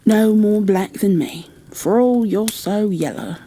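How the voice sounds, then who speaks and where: A woman's voice: mid-range voice, slow, mellow, pitched above the performer's own voice. A woman voicing a blunt, good-natured young housemaid with a Yorkshire accent in a storybook reading. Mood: vexed, frank. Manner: blunt, chatty, plain-spoken.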